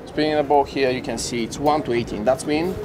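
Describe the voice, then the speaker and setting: A man in his thirties, a casino host, patiently explaining a table game in halting, non-native phrasing. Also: mid-range voice, fairly even intonation, crowd noise, low-quality recording. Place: casino floor on a cruise ship.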